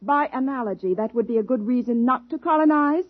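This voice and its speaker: An American actress playing a stern, formal, impatient expedition commander in a 1950s science fiction radio drama. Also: female voice, on the high side, lively intonation, fairly quick, dry recording. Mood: impatient, insistent. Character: stern, by-the-book female space expedition commander. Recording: dull lo-fi 1950s radio recording.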